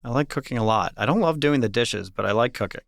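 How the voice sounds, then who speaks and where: A middle-aged man born in Ecuador, answering podcast interview questions in a measured, reflective way. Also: medium pitch, lively intonation, vocal fry, no echo, studio quality. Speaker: guest, risk and portfolio manager.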